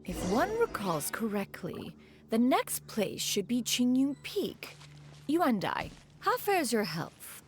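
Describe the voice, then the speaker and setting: Lofty, expressive immortal bird woman. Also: a little high, takes it slowly, faint music behind, heavily acted. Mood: courteous. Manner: stately, archaic, refers to self as "one". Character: dignified, lofty female bird immortal, protective and formal. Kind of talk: video game voice acting.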